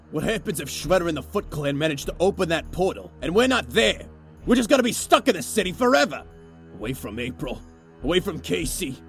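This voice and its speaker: A man's voice: a little high, gravelly, fairly bright tone. A man voicing a brash, hot-headed young ninja turtle who talks angrily and sarcastically.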